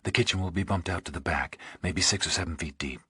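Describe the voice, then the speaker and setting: Practical, wary female investigator, played by a male narrator: breathy half-whisper, hushed, questioning, dry close sound. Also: low-pitched, quick pace, dry recording, exaggerated voice. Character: wary, practical woman investigator working alongside partner. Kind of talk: audiobook thriller character acting.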